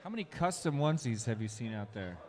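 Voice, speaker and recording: A man's voice: mid-range voice, animated, quick pace, darkish tone. A man announcing a live drone race, teasing pilots and making jokes. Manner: joking, teasing, playful announcer.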